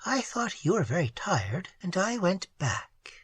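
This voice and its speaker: A reader voicing a shy, gentle young woman sculptor, soft and whispery, with an innocent, wondering manner. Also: mid-range voice, very animated, a little slow, breathy edge, dry recording, full character voice. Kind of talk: audiobook dialogue.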